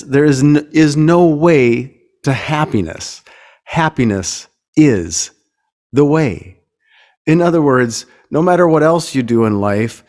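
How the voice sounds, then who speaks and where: An American man speaks at an unhurried pace in a mid-range voice. He sounds thoughtful and halting, searching gently for words in an informal, reflective talk. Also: mid-range voice. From United States. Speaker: guest singer-songwriter speaking to a congregation.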